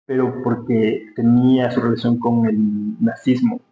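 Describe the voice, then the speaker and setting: Ecuadorian guy chatting in an interview, pretty even low voice, thinking out loud, roomy recording. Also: measured pace, soft background music. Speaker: interview guest, dancer. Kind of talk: casual interview conversation. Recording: roomy, dull sound with faint hum.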